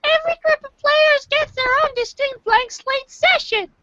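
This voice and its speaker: A woman's voice: very high voice, normal speed, pushed and bright, dry recording. A woman voicing an irate, condescending, foul-mouthed young male alien troll in an amateur reader's theater, ranting in exasperation. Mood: contemptuous. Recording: dull lo-fi home recording, faint noise.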